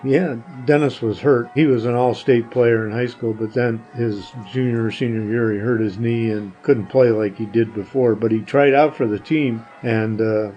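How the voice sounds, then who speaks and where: An older man recalls things in an interview with a dark, soft-edged tone and a mid-range pitch. He is plain-spoken and halting, with faint music behind him. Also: steady tone, dull audio. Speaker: guest. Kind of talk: reminiscing in an interview.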